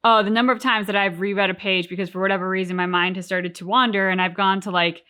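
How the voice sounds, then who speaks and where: A woman's voice: mid-range voice, fairly even intonation, quick pace, pushed and bright, clean tone. A woman co-hosting a reaction show, chatting casually and acting out her own reactions. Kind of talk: casual discussion of game cutscenes.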